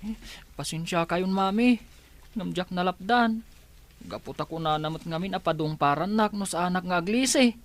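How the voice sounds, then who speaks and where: A man's voice: high voice, normal speed. An actor playing a dutiful younger son in a radio drama, earnest and worried about his sister. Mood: apologetic. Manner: earnest, concerned. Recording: noisy background.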